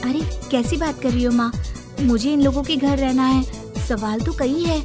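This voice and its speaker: A woman voicing an outspoken young bride in a cartoon story, with an animated, sassy manner. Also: high-pitched, normal speed, over music, lightly acted. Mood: cheeky. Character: cheeky, outspoken young bride who loves washing. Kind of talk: Hindi cartoon story acting.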